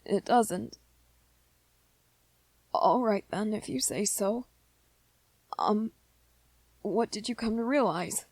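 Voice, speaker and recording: Woman playing young male vampire boyfriend, ASMR roleplay, soft-spoken, anxious, hesitant, unhurried pace, dry intimate sound. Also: medium pitch, dry recording. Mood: nervous, hesitant. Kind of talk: ASMR roleplay, scripted one-sided dialogue to listener.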